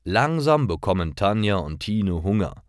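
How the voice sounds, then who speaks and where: A man narrating scripted stories for language learners in careful, clearly separated sentences. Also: fairly low pitch, big pitch swings, measured pace, dry recording, studio quality.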